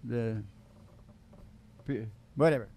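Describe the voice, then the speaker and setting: A man's voice: medium pitch, slow pace, darkish tone. A man hosting a casual radio show, chatting in a rambling, folksy, irreverent way. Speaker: singing radio show host.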